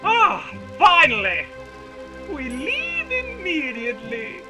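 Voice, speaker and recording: A spiteful, haughty queen acted by a man, extremely high and very sharp. The delivery is theatrical and drawn-out, like an animated villain giving orders over background music. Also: heavily acted. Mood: gloating. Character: imperious spiteful queen, shrill, gloating and scheming. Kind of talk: anime-style game fan dub acting. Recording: music behind the voice.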